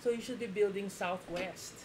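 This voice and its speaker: A calm young man playing a board game in a small room, explaining rules and counting scores, heard from a camera across the table. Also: sits high, moderate pace, a little hiss or hum, poor audio. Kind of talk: casual game talk.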